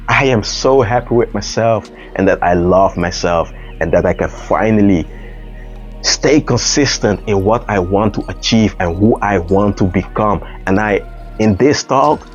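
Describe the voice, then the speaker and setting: A man speaks in a slightly low voice, earnest and a little halting as he searches for the right words. The recording sounds dull and lo-fi, with faint music playing underneath. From Netherlands. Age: 30s. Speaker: dancer and artist. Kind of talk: personal reflective monologue. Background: faint background music.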